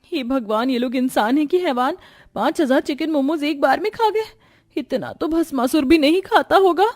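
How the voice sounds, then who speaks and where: A high, whiny young daughter-in-law from an Indian family cartoon, acted by a woman. She complains melodramatically and sounds put-upon and exasperated. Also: lively intonation, normal speed, darkish tone. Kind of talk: Hindi cartoon voice acting.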